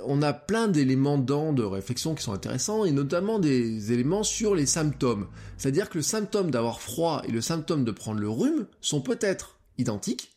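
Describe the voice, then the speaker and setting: A man hosting a solo podcast, explaining his ideas to listeners, often pausing with hesitations as he thinks aloud, speaking close to a microphone. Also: on the high side, darkish tone. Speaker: podcast host.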